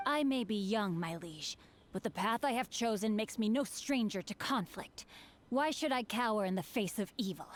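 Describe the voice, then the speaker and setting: A woman's voice: medium pitch, lively intonation, moderate pace, slight background noise, close to an everyday voice. A woman voicing an earnest, bookish young male swordsman who speaks in formal, old-fashioned phrases and quotes proverbs. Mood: defiant. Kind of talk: video game character dialogue.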